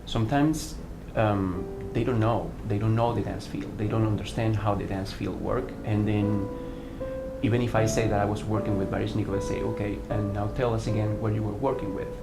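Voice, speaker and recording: A lowish-voiced man from Venezuela, speaking English with a Venezuelan accent. He tells his story earnestly and haltingly, restarting his phrases often. The voice sits in a roomy space with music playing clearly underneath. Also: steady tone. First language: Spanish. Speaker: artistic director of a dance company. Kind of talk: personal testimony interview.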